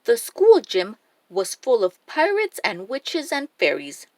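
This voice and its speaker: Female children's storyteller, unhurried and very animated, dry recording. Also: a little high, dry recording. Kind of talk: expressive read-aloud storytelling for young children.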